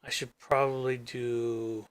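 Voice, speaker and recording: A man's voice: mid-range voice, little pitch movement, slow pace, clear, resonant tone. A man hosting his own channel, thinking aloud as he works on his screen, rambling and trailing off into mumbles. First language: English. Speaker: gaming channel host. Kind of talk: casual screen-recording commentary.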